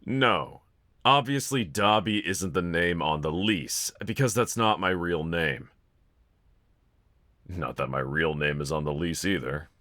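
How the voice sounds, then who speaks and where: A man's voice: low-pitched, unhurried, sharp tone, dry recording, clean studio sound. A man voicing a wanted young male villain in a fan audio roleplay, talking to one listener in a casual, sardonic, teasing drawl. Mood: incredulous, sardonic.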